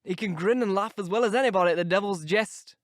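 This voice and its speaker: A man's voice: sits high, fast, no room sound, studio quality, lightly acted. A man doing a grumbling old servant. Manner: gossipy, grumbling. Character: old, grumbling manservant from the moors.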